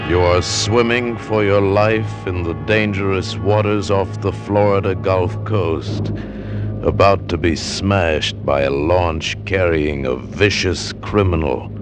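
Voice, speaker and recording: A man narrating in an ominous, dramatic, deliberate 1950s radio thriller style. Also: low-pitched. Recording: vintage radio recording with music.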